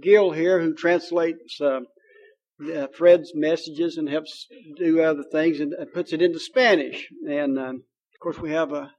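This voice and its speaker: A man's voice: on the high side, darkish tone, muffled recording. A man with a Kentucky accent preaching a sermon in a folksy, joking way, with many fillers. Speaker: pastor.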